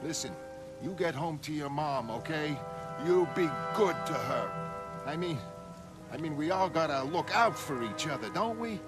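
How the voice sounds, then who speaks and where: A rambling, folksy newspaper vendor, put on by a man for a motion comic. The voice is pitched up from his own, colloquial and repetitive, gruff with a soft heart. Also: expressive, moderate pace, loud music behind the voice, natural voice. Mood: awkwardly kind. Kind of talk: motion comic dramatic acting.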